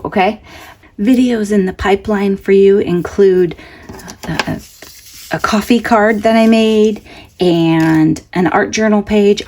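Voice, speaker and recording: A woman's voice: fairly low pitch, a little mellow. A woman with a congested voice gives a casual, chatty craft update as host, rambling conversationally with self-joking asides. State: congested from allergies.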